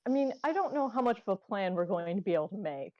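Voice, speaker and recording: A woman's voice: mid-range voice, sing-song, quick pace, no room sound, muffled recording. A woman chatting casually during a tabletop roleplaying game.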